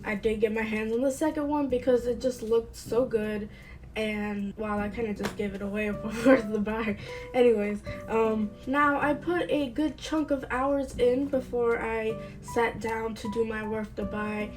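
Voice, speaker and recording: A higher-pitched woman with a stuffy, slightly nasal voice from a cold, chatting through a relaxed game review. She keeps it playful with quick side remarks, and soft guitar music plays faintly behind her. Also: poor audio. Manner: chatty and playful, with light asides. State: congested from a cold, a bit nasal. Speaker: host of a gaming channel. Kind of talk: casual video game review.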